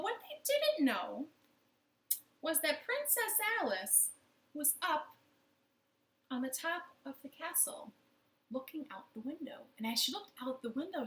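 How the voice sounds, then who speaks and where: Bright, animated woman storyteller in an echoey room. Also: high-pitched. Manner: expressive, interactive, addressing young listeners. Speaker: children's library storyteller. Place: living room at home. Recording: roomy, echoing home living room.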